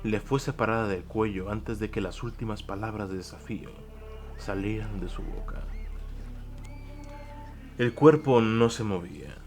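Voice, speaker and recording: A man giving solemn, deliberate scripted narration of a dark audio drama. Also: lowish voice. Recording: clear noise and ambience behind voice.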